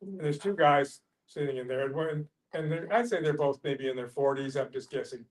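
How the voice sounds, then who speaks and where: A man with a somewhat high voice shares a personal story in a group. He is casual and folksy, stopping and restarting often as he rambles along. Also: normal speed, poor audio. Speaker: discussion participant.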